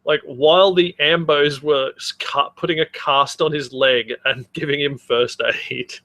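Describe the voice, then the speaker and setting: A man's voice: on the high side, fairly even intonation, unhurried, a bit bright. A man co-hosting a comedy podcast in casual banter, sardonic and prone to swearing. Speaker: podcast co-host. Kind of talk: casual banter and mock-dramatic readings.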